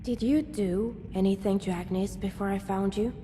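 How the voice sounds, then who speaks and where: A woman playing a guarded, wary young scavenger in a video game, speaking in a measured, dry way. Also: medium pitch, fairly even intonation, dark tone, lightly acted. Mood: wary, probing. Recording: long reverb, clear background noise.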